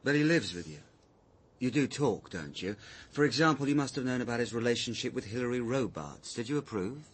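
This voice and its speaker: An actor playing a blunt, needling police sergeant in a radio drama, asking pointed questions. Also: male voice, mid-range voice, lively intonation, a little fast, slightly rough.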